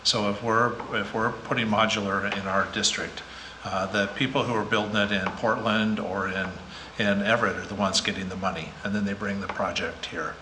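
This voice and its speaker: A man's voice: fairly low pitch, steady tone, moderate pace. A man from the school district explaining a decision matter-of-factly at a public meeting. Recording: echoey room with background noise.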